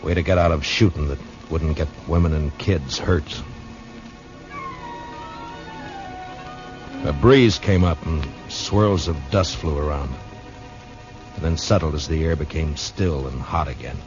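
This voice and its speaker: An actor playing a stern, steady frontier marshal in a 1950s western radio drama, terse and commanding, both narrating and in scenes. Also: male voice, rumbling low, moderate pace. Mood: grave, reflective. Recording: dull old radio recording with music.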